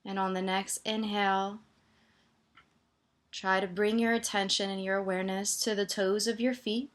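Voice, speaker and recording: A woman meditation teacher gently guiding a body scan, soothing and leaving pauses between her instructions. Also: mid-range voice, steady tone.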